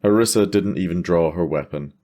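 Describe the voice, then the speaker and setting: A man's voice: low voice, a little fast, dark tone. A man delivering scripted, cinematic narration for a fantasy audio drama.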